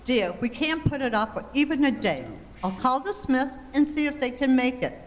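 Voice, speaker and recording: A woman's voice: sits high, animated, normal speed. Woman playing a patient housewife in a reverberant hall. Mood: patient, coaxing. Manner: polite, coaxing. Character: patient, gently persuasive 1940s housewife and mother. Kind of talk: amateur radio-play acting of a 1940s comedy. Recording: reverberant hall, faint background noise.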